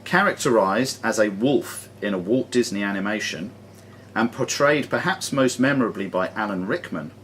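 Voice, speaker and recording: A man hosts a quiz with an animated, swooping delivery. He reads questions in a measured, formal way and drops in jokey asides. The live room recording has a light layer of noise. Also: fairly low pitch. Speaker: quizmaster. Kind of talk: reading quiz questions aloud to players. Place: sports and social club room.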